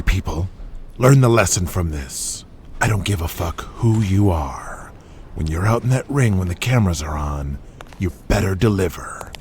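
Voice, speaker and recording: A man voicing a brash, domineering wrestling promoter in an audio drama, with a forceful, bullying manner. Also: on the low side, slight background noise. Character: brash, foul-mouthed, domineering male wrestling promoter boss. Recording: loud music under the voice.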